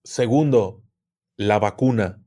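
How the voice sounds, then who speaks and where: A man's voice: fairly low pitch, slow pace, warm tone. A man, a guest on a podcast, easygoing and hesitant, talking with plenty of fillers. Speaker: guest, occult video creator. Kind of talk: casual podcast interview.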